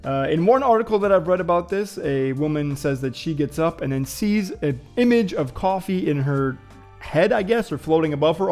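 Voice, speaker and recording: A man's voice: fairly high pitch, a little mellow. A man hosting a casual vlog, musing and thinking aloud to viewers with frequent fillers and hesitations. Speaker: vlogger host. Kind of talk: casual reflective vlog talk.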